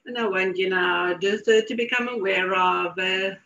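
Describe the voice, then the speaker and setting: A woman leading a guided meditation in a soothing, deliberate manner with repeated phrases. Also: medium pitch, fairly even intonation, creaky, fairly bright tone. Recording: dull lo-fi audio.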